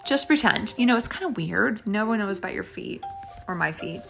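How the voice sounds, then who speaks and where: Middle-aged woman, podcast host, loose rambling chat with fillers, boom mic, soft music bed. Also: expressive, a little fast, creaky. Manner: chatty and self-deprecating, with many fillers and laughs. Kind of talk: casual solo podcast chat. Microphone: boom microphone.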